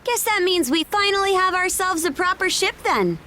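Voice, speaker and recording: A woman's voice: very high-pitched, lively intonation, moderate pace, pushed and bright, a little hiss or hum, full character voice. A woman playing a confident, practical young seafarer in a video game cutscene, speaking casually and matter-of-factly. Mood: wry, practical.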